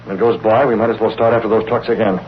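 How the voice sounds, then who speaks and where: A man's voice: on the low side, level tone, rapid-fire, natural voice. An actor playing a decisive, commanding, well-spoken male vigilante hero in an old-time radio adventure. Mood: decisive, urgent. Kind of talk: 1930s-40s radio adventure acting. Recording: muffled, noisy old radio recording.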